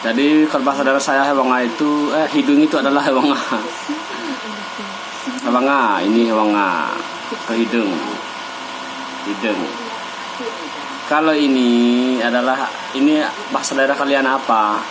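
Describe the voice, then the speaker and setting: Man from Sabu island, slow patient teacher. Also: mid-range voice, fairly even intonation, background noise. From Sabu island, East Nusa Tenggara, Indonesia. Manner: slow, deliberate, pointing at his face and repeating words. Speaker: vlogger teaching his local language. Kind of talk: casual to-camera language lesson.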